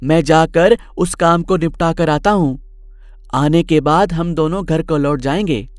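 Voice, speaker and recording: A man's voice: a little high, unhurried, no room sound, clean studio sound, pitched above the performer's own voice, natural voice. A man voicing a whiny, repentant male servant in a cartoon moral story, pleading and deferential. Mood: eager, polite.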